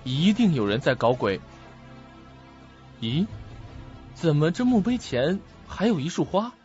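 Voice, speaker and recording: Actor as an anxious young man, widely swinging pitch. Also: very animated, measured pace, a little mellow. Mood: puzzled, uneasy. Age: young adult. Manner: dramatic, hesitant, self-questioning. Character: anxious, puzzled young man searching for answers. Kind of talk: radio drama acting. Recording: muffled lo-fi audio, music behind.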